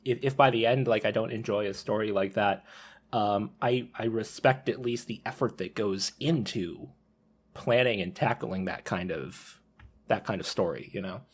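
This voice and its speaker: A man's voice: on the low side, moderate pace, breathy edge. A man talking casually as a podcast panelist, hesitant, with frequent restarts and fillers. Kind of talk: casual discussion.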